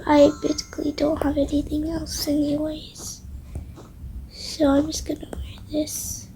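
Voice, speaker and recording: A woman's voice: sits high, completely flat delivery, a little slow, mellow, poor audio. A female video creator improvising a roleplay aloud, often dropping into hesitant, half-whispered asides to herself. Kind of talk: improvised game roleplay narration. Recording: faint background noise.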